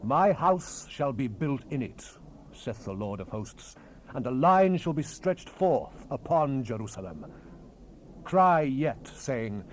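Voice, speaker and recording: Fervent old-testament prophet, solemn bible drama delivery, very animated pitch, bit of cassette noise. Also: male voice. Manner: solemn, declamatory, archaic phrasing. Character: fervent male Bible prophet proclaiming visions and God's words. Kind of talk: dramatized King James Bible reading. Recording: noisy unprocessed 1970s cassette rip.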